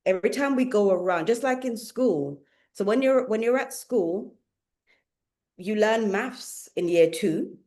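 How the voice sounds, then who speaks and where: A woman with a Jamaican accent who lives in England, a spiritual teacher talking as a guest in a casual conversation, playful and quick to laugh. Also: mid-range voice. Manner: laughs often, playful and animated.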